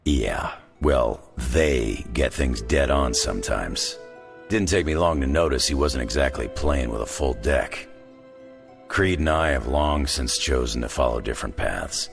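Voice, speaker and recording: An actor playing a gruff, wry veteran fighter in a video game, with a gravelly voice and a laconic, folksy, sardonic way of talking. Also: male voice, a bass voice, lively intonation, unhurried, soft background music, heavily acted. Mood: candid, easygoing. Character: gruff, wry veteran fighter, rugged middle-aged man. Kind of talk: video game cutscene dialogue.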